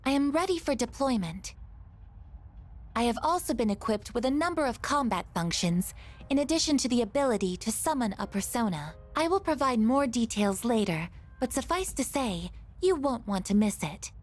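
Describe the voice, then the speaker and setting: High-voiced, formal female android. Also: animated, moderate pace. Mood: formal, earnest. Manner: formal, precise, earnest robotic phrasing. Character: polite, dutiful female android combat weapon. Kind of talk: anime video game voice acting.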